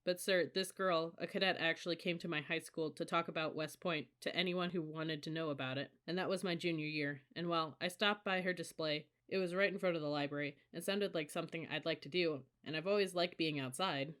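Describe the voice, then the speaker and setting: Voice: mid-range voice, steady tone, a bit bright, close to an everyday voice. An audiobook narrator voicing an anxious, earnest young woman cadet who hesitates and babbles to her superior. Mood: nervous. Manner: hesitant, then rushing.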